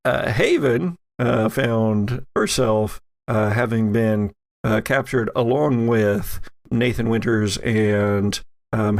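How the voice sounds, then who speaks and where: Slow, gravelly man recapping a game. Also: mid-range voice. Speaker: podcast host and game master. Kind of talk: casual recap narration of a tabletop roleplaying story.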